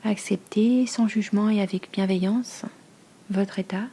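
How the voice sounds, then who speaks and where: Soft, breathy woman guiding meditation. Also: medium pitch, steady tone. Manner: slow, hushed, soothing instruction with long pauses. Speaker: yoga nidra teacher. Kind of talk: guided meditation.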